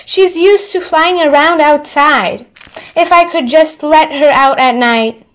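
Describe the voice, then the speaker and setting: A woman's voice: sits high, slow pace, pitched above the performer's own voice. A woman reading a storybook as a put-upon schoolboy wizard, earnest, defensive and pleading. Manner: earnest, protesting.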